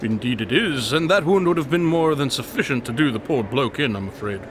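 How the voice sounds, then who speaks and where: A man playing a coolly logical, flippant gentleman detective in an audio drama, with a wry, wordy, formal manner. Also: medium pitch, expressive, a little fast, noisy background, close to an everyday voice. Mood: dry, analytical.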